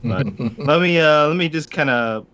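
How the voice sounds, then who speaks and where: A man co-hosting a casual live stream over a video call, relaxed and joking, reading out the chat. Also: a little high, normal speed, smooth, dull audio. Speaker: co-host.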